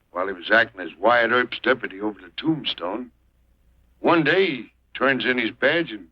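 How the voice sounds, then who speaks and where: An actor playing a shrewd, weathered old American marshal in a 1940s western film, with a folksy, deliberate, drily menacing way of talking. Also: male voice, mid-range voice, husky, no echo. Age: older. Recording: dull muffled old film soundtrack.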